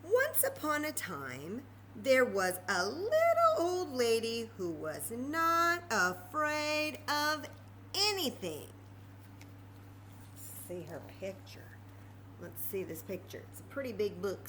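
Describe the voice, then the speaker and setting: A woman leads story time for young children in a highly animated, fairly high voice. She takes her time, chatting and marveling as she reads. There is a little background noise in the room. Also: a little slow. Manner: expressive, chatty, with exaggerated wonder and questions to children.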